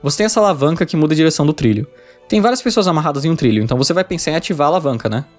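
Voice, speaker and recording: Lively, fast-talking Brazilian man narrating. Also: a little high, soft background music. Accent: Brazilian Portuguese. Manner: conversational, addresses the viewer with questions. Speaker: video essayist. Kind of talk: informal scripted narration.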